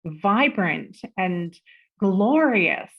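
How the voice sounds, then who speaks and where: A woman in her forties, a podcast guest, chatting casually with lively laughter and the odd swear word. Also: mid-range voice, very slow, phone-quality sound. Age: 40s. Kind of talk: casual interview chat.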